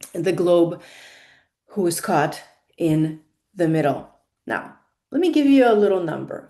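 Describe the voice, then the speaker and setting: A woman's voice: fairly low pitch, mellow. A woman with a non-native English accent giving a monologue as a career consultant, stressing key words and repeating her points.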